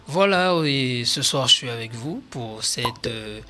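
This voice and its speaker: A man's voice: mid-range voice, animated, measured pace. A man, co-commenting casually with hesitant, short replies. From Democratic Republic of the Congo. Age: 20s.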